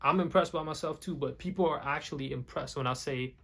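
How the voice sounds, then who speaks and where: A man's voice: fairly high pitch, brisk, creaky, fairly bright tone. A young man, not a native English speaker, talking casually to the camera for a vlog, often repeating words and restarting his sentences. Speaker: vlogger.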